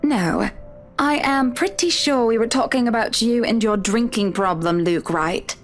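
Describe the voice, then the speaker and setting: A woman's voice: fairly high pitch, a little slow, soft background music. An actress playing an indignant wife in a visual novel game, scolding and pointed as she stands up to her husband.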